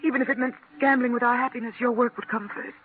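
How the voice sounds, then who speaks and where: An actress playing an anxious, exhausted scientist's wife in a 1940s radio drama. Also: female voice, a little high, fairly even intonation, fast talker, close to an everyday voice. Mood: pleading, anxious. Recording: tinny 1940s radio recording, music behind.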